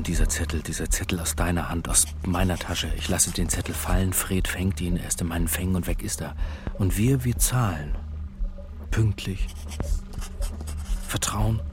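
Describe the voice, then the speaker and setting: An actor playing a menacing, lovesick extortionist in a radio drama, muttering insistently in halting, repeated phrases. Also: male voice, low voice. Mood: obsessive, scheming. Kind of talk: stylized radio drama monologue and dialogue. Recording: music behind the voice. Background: music.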